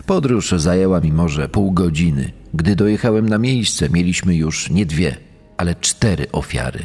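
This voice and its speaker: A man playing a sober deputy sheriff with a husky voice, recounting a case in an audio drama. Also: low-pitched, expressive, normal speed, darkish tone, heavily acted. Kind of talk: dramatized testimony.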